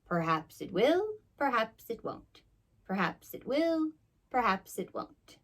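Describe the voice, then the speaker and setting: A woman's voice: fairly high pitch, sing-song, takes it slowly, pitched above the performer's own voice, exaggerated voice. A woman voicing a small, clever female storybook rabbit, coy and self-assured. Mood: musing.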